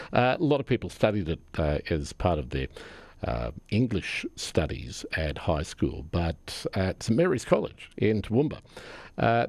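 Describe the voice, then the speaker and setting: A middle-aged Australian man with a low voice hosts a radio interview. He talks conversationally, feeling his way through phrases in a halting manner. The sound is close and dry, as in a studio. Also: darkish tone, no echo. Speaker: radio host interviewing guests.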